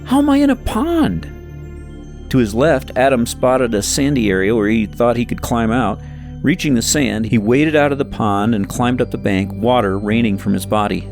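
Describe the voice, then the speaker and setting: A man narrating a story from a script in an expressive, suspenseful, dramatic way. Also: mid-range voice, moderate pace, faint music behind. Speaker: audiobook narrator reading own novel.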